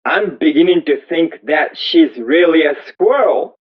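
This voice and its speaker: A man reading a first-person story aloud as scripted narration, in slow, deliberate, measured phrases. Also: on the high side. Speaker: audiobook reader. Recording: thin, phone-like narrow-band audio.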